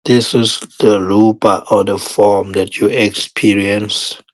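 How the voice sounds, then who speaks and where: A man teaching guided meditation in short, measured phrases with long pauses between them. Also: lowish voice, little pitch movement.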